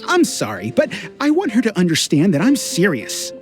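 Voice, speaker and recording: An actor playing a resentful townsfolk worker who speaks in an exasperated, confrontational way. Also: male voice, high voice, wildly swooping pitch, moderate pace, soft background music.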